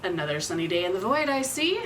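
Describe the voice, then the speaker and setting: An actress playing a blunt, sardonic fighter who is impatient to get to the violence. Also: female voice, on the low side, sing-song, fast, far from the mic, noisy background. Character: blunt, fight-hungry woman fighter with guns and magic. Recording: a little off-mic.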